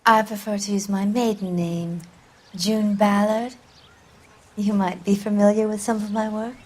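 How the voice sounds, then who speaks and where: A woman's voice: mid-range voice, level tone, unhurried, natural voice. A woman playing a vain, brassy, evasive American film actress of the 1940s in a video game drama, with a haughty, teasing, theatrical delivery. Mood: flirtatious, teasing.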